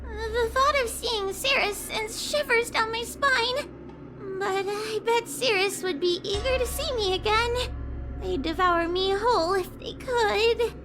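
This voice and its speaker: A woman's voice: extremely high pitch, unhurried. A woman voicing a small, bookish, cautious girl in a video game, earnestly explaining with some hesitation. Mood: nervous, scheming. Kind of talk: video game character dialogue. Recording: music behind the voice. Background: game music.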